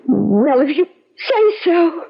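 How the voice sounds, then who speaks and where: A woman's voice: high voice, very animated, takes it slowly, gravelly, heavily acted. An actress playing a sweet, trusting, wistful woman houseguest in a 1970s radio drama, speaking in a gushing, earnest way. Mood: tender, trusting. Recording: dull vintage radio broadcast recording.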